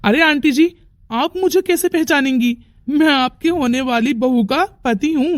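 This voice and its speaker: A high, dry-sounding voice of a woman playing a brash young man in a family melodrama cartoon. The delivery is dramatic and confrontational, full of defiance. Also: measured pace, no room sound. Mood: cocky. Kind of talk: Hindi moral-story cartoon dialogue.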